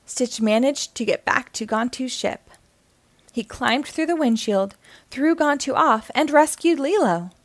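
A woman's voice: high-pitched, expressive, measured pace, no echo. A woman reading a children's story aloud as scripted narration.

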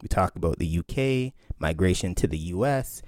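Lively man explaining, dry close sound. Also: sits low, moderate pace, no room sound. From Canada. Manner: animated, laughs often, acts out quotes. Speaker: author and historian. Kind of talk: interview answer, explaining.

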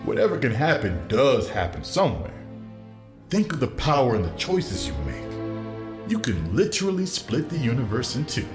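An actor playing a wounded politician haunted by his other self, in a dramatic, halting audio drama delivery. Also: mid-range voice, sing-song, lightly acted. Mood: eerie, persuasive. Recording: echoey, loud music underneath.

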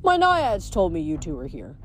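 A woman's voice: on the low side, wildly swooping pitch, moderate pace, faint music behind. A woman voicing a manly, ancient male river god with a courteous, formal, wry and musing manner for a story.

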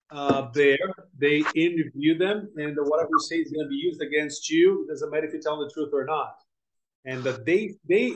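A man from Brazil, a podcast guest, talking in a halting, filler-heavy way with many restarts. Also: fairly high pitch, a little mellow, rough recording. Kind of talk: casual podcast conversation.

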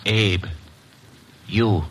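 A man's voice: lowish voice, expressive, very slow, a bit bright, husky, heavily acted. An actor playing a meek, deferential shoeshine man in a classic radio drama, hesitant and stammering on a noisy old recording. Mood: reluctant, nervous.

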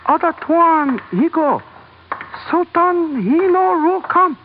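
A man's voice: extremely high pitch, animated, slow, exaggerated voice. A man playing a menacing knife-carrying palace guard in old radio drama, speaking in clipped, broken pidgin English. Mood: curt menace. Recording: tinny, noisy old radio recording.

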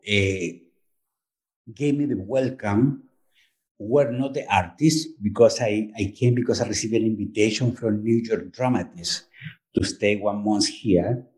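A man from Chile with a Chilean Spanish accent, a native Spanish speaker, speaking as an author in a podcast interview, halting and searching for words. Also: on the low side, a little mellow, rough recording. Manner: halting, searching for words, frequent "you know what I mean". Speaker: author and performer, guest.

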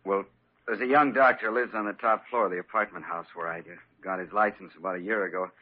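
Man acting a husky, humble working-class guy. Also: on the low side, fairly even intonation, quick pace, natural voice. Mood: humble, grateful. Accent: American. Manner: folksy, hesitant, ungrammatical. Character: humble working-class male patient, plain-spoken and grateful. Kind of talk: 1940s-50s radio drama acting. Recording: tinny narrow-band vintage radio recording.

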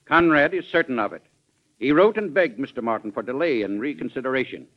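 An actor playing an earnest, indignant American government official in an old-time radio drama, formal and oratorical in delivery. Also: male voice, medium pitch, lively intonation, a little fast, close to an everyday voice. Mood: accusing. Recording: dull vintage radio recording.

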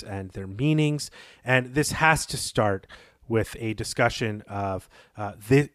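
Man hosting a book podcast, mulls things over out loud, uhs here n there, dead dry mic. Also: medium pitch, no room sound. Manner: thoughtful, wanders with fillers, then leads the topic. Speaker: podcast host. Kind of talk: casual literary discussion.

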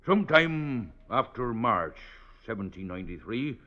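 Humble blacksmith prisoner, swooping intonation. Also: male voice, medium pitch, very animated, unhurried. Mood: earnest recounting. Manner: humble, hesitant, rambling. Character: deferential, rambling middle-aged village blacksmith prisoner. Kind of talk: classic radio drama acting. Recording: dull old radio recording.

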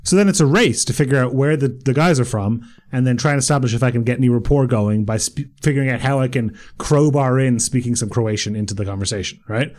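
A man's voice: medium pitch, fast, hardly any pauses, a little mellow. A man with an Irish accent, co-hosting a podcast and telling rambling, self-mocking stories in casual banter. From Ireland. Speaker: podcast co-host.

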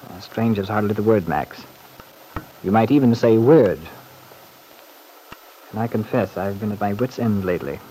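An actor playing a wry, urbane, harried gentleman who speaks hesitantly in an old-time radio serial. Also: lowish voice, moderate pace, pauses often, close to an everyday voice. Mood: wry exasperation. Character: wry, harried, well-off gentleman confiding in his manservant. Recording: faintly noisy old radio recording.

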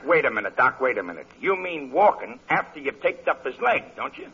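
Raspy, forceful, officious cop. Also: male voice, a little high, big pitch swings, a little fast, heavily acted. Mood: insistent. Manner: bossy, insistent, emphatic. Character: officious, stubborn by-the-book male police officer. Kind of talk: old-time radio comedy acting. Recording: dull vintage radio broadcast.

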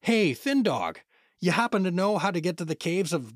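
A man's voice: high voice, sing-song, normal speed, no room sound, studio quality. A man playing a sarcastic, cocky human adventurer in a fantasy audio comedy. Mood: indignant. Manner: wry, sarcastic.

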